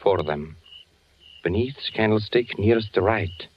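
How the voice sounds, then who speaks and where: An actor playing a cautious spy handler posing as an army officer, giving terse, formal instructions in 1940s radio drama. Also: male voice, lowish voice, moderate pace, lightly acted. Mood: businesslike, cautious. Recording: muffled, noisy 1940s radio recording.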